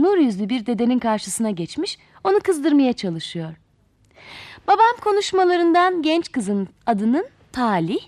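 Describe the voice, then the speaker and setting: A woman narrating a fairy tale for children in an expressive, theatrical way, addressing young listeners directly. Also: high voice, no room sound. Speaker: children's storyteller.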